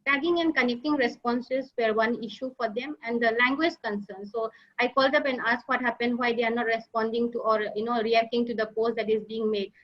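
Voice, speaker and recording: Woman presenting, halting, lo-fi. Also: fairly high pitch, fairly even intonation. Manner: halting, many fillers and restarts. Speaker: nurse leader presenting. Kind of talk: slide presentation talk. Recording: dull lo-fi online audio.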